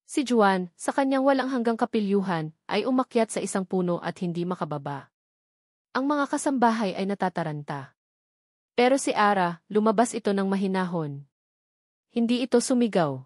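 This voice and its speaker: A woman's voice: mid-range voice, darkish tone, dry recording, clean studio sound. A woman with a Filipino accent reading a scripted dramatic story as its narrator.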